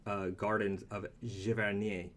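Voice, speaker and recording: A man's voice: fairly low pitch, level tone, measured pace. A man chatting casually as a live stream host, talking through products in a rambling, easygoing way.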